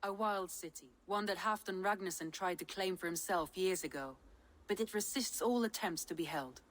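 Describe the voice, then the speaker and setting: A woman playing a shrewd, practical Norse advisor in a video game, measured and businesslike. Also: lowish voice, close to an everyday voice. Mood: measured, advising. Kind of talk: video game cutscene dialogue.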